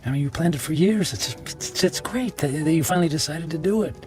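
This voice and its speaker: Actor as halting, remorseful businessman over music. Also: fairly high pitch, expressive, a little mellow, lightly acted. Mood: awkwardly friendly. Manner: halting, stammering, earnest. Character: remorseful middle-aged businessman, hesitant and pleading ex-husband. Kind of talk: radio drama acting. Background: dramatic background music.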